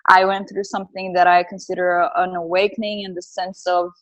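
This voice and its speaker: A woman's voice: on the low side, little pitch movement, a little fast, low-quality recording. A woman from Brazil with a Brazilian Portuguese accent, speaking as a podcast guest and earnestly recounting her personal journey. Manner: earnest and reflective. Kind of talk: casual interview, personal storytelling.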